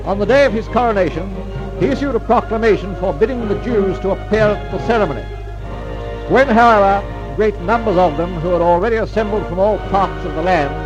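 A man's voice: sits high, muffled recording. A man reading dramatic scripted narration in a grand, declamatory old-time radio style. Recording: vintage radio recording under music.

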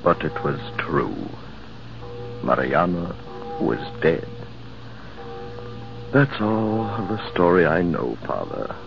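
An actor playing a somber man telling a sad tale in a hushed, mournful manner, in 1940s radio acting over music on an old recording. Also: deep voice, big pitch swings, slow pace, creaky, long pauses, lo-fi sound, full character voice.

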